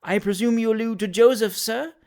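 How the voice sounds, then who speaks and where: Shrill, fluttery spinster aunt. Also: male voice, brisk, darkish tone. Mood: prim, wounded. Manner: plaintive, emphatic, high-strung. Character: fluttery, easily agitated spinster aunt, prim and indignant. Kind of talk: dramatised storybook reading.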